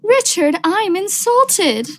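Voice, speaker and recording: A young woman playing a coquettish but cool sweetheart in a vintage radio thriller, with drawn-out theatrical delivery. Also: very high voice, sing-song, faint music behind, heavily acted. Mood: pouting. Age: young adult. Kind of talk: vintage radio drama acting.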